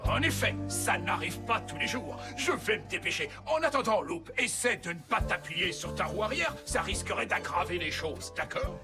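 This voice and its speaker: A man voicing a patient, helpful mechanic vehicle in a French cartoon, expressive and reassuring. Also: high voice, normal speed, few pauses, music in the background. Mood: obliging. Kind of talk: French cartoon dub acting.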